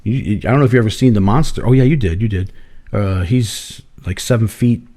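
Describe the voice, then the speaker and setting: A man co-hosting a podcast, telling rambling stories in a hoarse voice, swearing freely and laughing often. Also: fairly low pitch, fairly quick, mellow. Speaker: podcast co-host. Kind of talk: casual chat.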